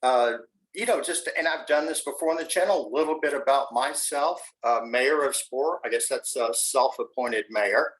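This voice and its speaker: A man's voice: mid-range voice, moderate pace, low-quality recording. A man from the USA hosting a casual interview on his channel, friendly and upbeat, with lots of fillers. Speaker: YouTube channel host. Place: home in the mountains.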